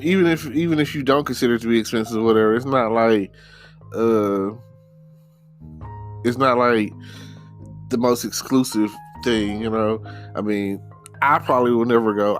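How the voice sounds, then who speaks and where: A man from Texarkana in the United States hosting a casual solo podcast, talking in a halting, rambling way with repeated words and frequent check-in phrases. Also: medium pitch, fairly even intonation, soft background music. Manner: rambling, halting, repeats words, says "you know" often. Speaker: podcast host.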